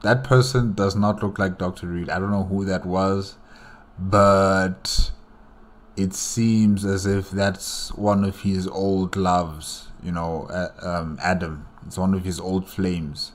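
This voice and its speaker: Male reaction host, deep register, slow and rambling delivery, casual commentary on a game movie. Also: little pitch movement. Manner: rambling, hesitant, thinking aloud.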